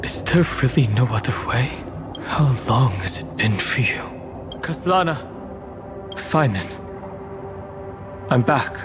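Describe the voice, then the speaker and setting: An actor playing an earnest, steadfast warrior in a dramatic video game, speaking in a measured way. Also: male voice, mid-range voice, lively intonation. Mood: troubled. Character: earnest, steadfast male warrior pleading with old comrade. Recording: music behind the voice.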